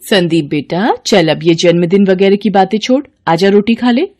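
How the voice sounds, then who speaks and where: A woman acts out a worried, caring mother from a moral story. Her tone is mellow, at a medium pitch. She speaks to her child with concern. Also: normal speed, close to an everyday voice. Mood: concerned, coaxing. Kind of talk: storybook dialogue.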